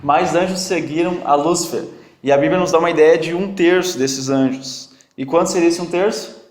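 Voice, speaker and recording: A man with a strong non-native accent teaching a Bible study class, quizzing and teasing his students in a lively, joking way. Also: on the high side, normal speed. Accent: strong non-native accent in Portuguese. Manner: jokey, quizzes and teases students. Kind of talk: interactive classroom teaching with questions to the group. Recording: echoey room.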